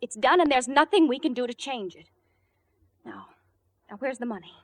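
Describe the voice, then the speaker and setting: Female accomplice, 1940s radio drama actress: higher-pitched, controlled, firm, practical, dry sound. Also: normal speed, no echo, natural voice. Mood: brisk, practical. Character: cool, practical, impatient female murder accomplice. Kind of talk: 1940s radio mystery acting.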